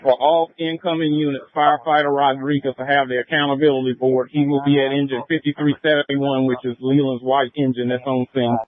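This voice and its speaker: Mid-pitched man on thin emergency radio. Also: little pitch movement, fairly quick, few pauses, no room sound. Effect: two-way radio. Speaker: on-scene incident responder. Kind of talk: procedural radio orders. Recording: narrowband fire radio audio.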